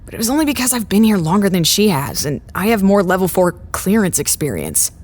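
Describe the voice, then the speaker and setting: A stressed woman in charge of a facility in an audio drama. Her pitch is very animated and swings widely as she speaks in an exasperated, naturalistic way. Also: brisk. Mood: exasperated, venting. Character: stressed, exasperated woman serving as acting site director.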